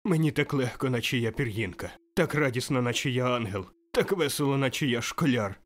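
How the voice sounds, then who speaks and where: A man's voice: medium pitch, very animated, brisk, voice pushed up. A man voicing an old miser turned overjoyed and giddy, exclaiming breathlessly in an audiobook. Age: older. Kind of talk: audiobook character voice.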